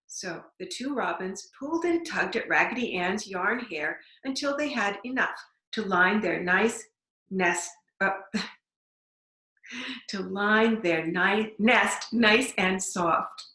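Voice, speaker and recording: A woman reading a storybook aloud to young children, deliberately, with simple explanatory asides. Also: mid-range voice, lively intonation, sharp tone. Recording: echoey room.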